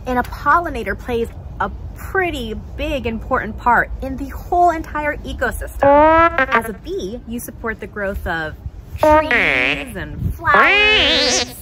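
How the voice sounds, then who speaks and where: A woman's voice: sits high, rough recording. A woman in her thirties presenting a playful children's puppet show, talking in an exaggerated, sing-song, encouraging way as if to small children.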